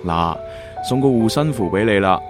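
A man's voice: fairly low pitch, animated, moderate pace, close to an everyday voice. Man acting an earnest, teasing boyfriend over music. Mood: tender, reassuring. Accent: Cantonese. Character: caring, teasing young boyfriend, earnest and reassuring. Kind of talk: Cantonese radio drama acting.

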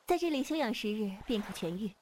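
Female cult chief played by a woman, highish register, cool, commanding, self-possessed, wuxia audio drama. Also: very animated, quick pace, darkish tone, slight background noise. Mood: composed, caring. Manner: imperious, self-assured, clipped orders. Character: cool, commanding, teasing female martial sect leader.

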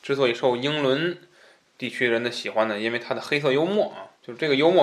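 A man co-hosting a casual book discussion, talking colloquially with joking asides and exclamations. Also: mid-range voice. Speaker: podcast co-host leading a book discussion.

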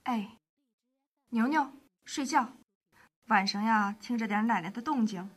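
A woman playing a proud, hard-working working-class wife with a sharp tongue in a radio drama. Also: fairly high pitch, measured pace. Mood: gentle, caring. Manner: indignant, scolding, sharp-tongued. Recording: amateur radio drama with music underneath.

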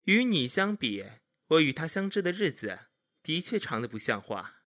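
A man playing a haughty, jealous young gentleman of ancient China in a period audio drama, with mocking, theatrical emphasis. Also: on the high side, big pitch swings, normal speed. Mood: taunting, smug.

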